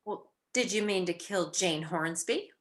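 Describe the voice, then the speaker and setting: A woman's voice: lowish voice, a little slow, close to an everyday voice. A woman playing a clever, composed young society wife turned amateur sleuth, wry and questioning, in an old-time radio mystery comedy. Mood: inquiring.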